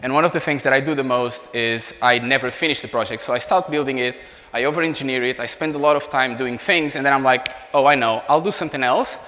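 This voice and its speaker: A man in his thirties with a Portuguese accent, giving an informal technical presentation as a software engineer. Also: medium pitch, fast talker. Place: indoor meetup room beside a large screen. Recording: reverberant hall sound.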